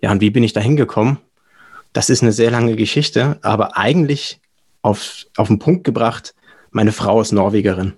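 A man's voice: lowish voice, moderate pace, darkish tone, slightly rough. A young adult man with a German accent, talking casually and explaining things as a podcast guest. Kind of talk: casual explanatory interview talk.

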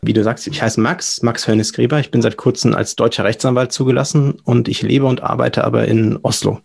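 A man's voice: mid-range voice, steady tone, a little fast, gravelly, husky. A young adult man with a German accent, talking casually and explaining things as a podcast guest. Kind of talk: casual explanatory interview talk.

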